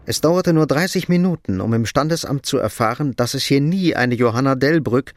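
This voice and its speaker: A man's voice: medium pitch, lively intonation, a little fast, no echo. A man reading scripted narration for a radio drama as a composed storyteller.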